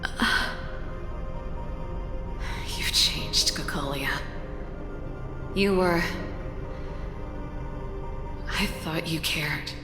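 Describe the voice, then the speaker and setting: An actress playing a passionate, idealistic young researcher in a video game, pleading dramatically. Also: female voice, sits low, very slow, long pauses. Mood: hurt, disbelieving. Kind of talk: video game story voice acting. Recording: echoey reverb with music behind.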